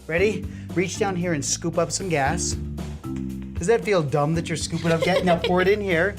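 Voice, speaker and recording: A woman's voice: on the low side, moderate pace. A woman running a science demonstration, coaching a helper step by step in an encouraging, playful way. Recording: video clip with music underneath.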